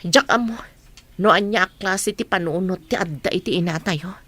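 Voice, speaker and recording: A woman's voice: fairly low pitch, normal speed, a bit bright, no room sound. Woman playing a guarded, protective eldest sister. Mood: serious. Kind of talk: radio drama acting.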